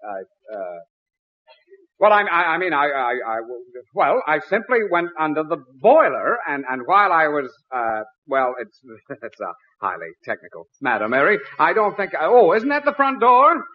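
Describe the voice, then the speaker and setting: A man's voice: a little high, sing-song, normal speed. An American man acting a flustered, put-upon middle-aged father who sputters and blusters in a 1940s radio comedy. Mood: flustered bluffing. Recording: muffled vintage 1940s radio broadcast.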